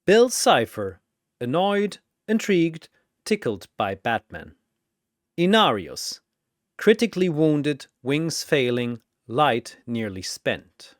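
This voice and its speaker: A man's voice: a little high, very animated, slow, no room sound, studio quality. A man giving dramatic scripted narration of a fantasy battle in theatrical, clipped announcer phrases.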